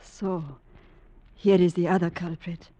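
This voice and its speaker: An actress playing a sensitive, suspicious young wife, pointed and emotional in theatrical old-time radio style. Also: female voice, lowish voice, little pitch movement, measured pace, dark tone, highs cut off. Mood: accusing. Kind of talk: old-time radio horror drama acting.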